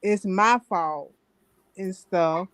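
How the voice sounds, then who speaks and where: Female panel guest, Southern US accent, bright smooth voice, earnest and conversational, casual advice talk. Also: mid-range voice, very slow, no echo.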